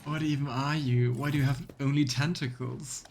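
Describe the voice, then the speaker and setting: A man streaming a role-playing game, chatting casually as he plays and rattling off item descriptions in quick bursts. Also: mid-range voice, fairly even intonation, creaky, darkish tone, a little hiss or hum. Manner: thinks aloud, reads item text in quick bursts. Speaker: let's play streamer. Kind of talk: casual gameplay commentary.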